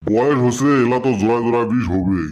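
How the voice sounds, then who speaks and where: A man's voice: medium pitch, quick pace, a little mellow, highs cut off, natural voice. Man playing a blunt village doctor in a cartoon. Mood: brusque, questioning. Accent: Goalpara, western Assam. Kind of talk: comic dialect cartoon dialogue.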